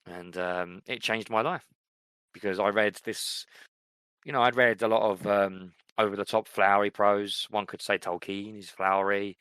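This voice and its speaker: Deep-voiced man co-hosting a podcast. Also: fairly even intonation, normal speed, fairly bright tone, clean tone, no room sound, poor audio. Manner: thoughtful, rambling with fillers and restarts. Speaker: podcast co-host. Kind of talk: casual chat about books and fiction.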